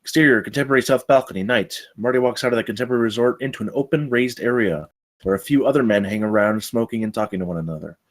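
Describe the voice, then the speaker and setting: A man's voice: mid-range voice, quick pace. A man narrating stage directions from a screenplay at a table read.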